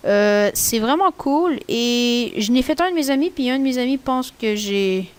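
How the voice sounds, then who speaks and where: A woman with a Québécois French accent, rambling casually through a screen-recorded software tutorial in a chatty, slangy way. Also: a little high, lively intonation, unhurried. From Quebec. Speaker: tutorial maker.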